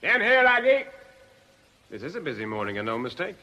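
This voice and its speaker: An actor playing a breezy, chatty business partner in a radio drama. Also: male voice, on the high side, huge pitch swings, a little fast, pushed and bright, slight background noise, full character voice.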